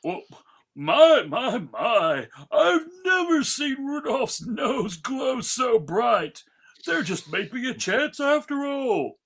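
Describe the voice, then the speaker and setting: Jolly, fretful old cartoon Santa, acted by a man: hammy, halting, unhurried, very animated. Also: very high-pitched, slightly rough, full character voice. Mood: hopeful. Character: jolly but worried old Santa Claus. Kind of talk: live dramatic table read of a cartoon.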